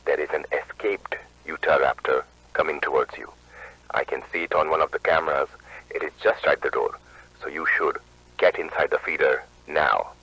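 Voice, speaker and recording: A man's voice: a bass voice, fairly even intonation, normal speed, pushed and bright, no echo, exaggerated voice, rough recording. A man playing an urgent control-center operator giving orders, heard through a radio filter. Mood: alert, businesslike.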